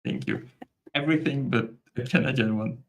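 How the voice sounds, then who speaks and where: A man hosting a podcast, easygoing and teasing, who jokes with his guests. His voice sits at an average pitch. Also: fairly quick, creaky. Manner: playful, teasing. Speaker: host. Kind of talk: casual podcast chat.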